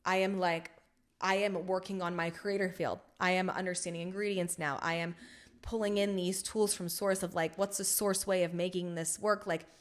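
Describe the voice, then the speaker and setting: A young adult woman hosting her own podcast, talking in a frank, rambling way full of swearing and filler words, with emphasis that swings between loud and soft. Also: fairly low pitch, flat delivery, moderate pace. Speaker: podcast host. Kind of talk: casual solo podcast monologue.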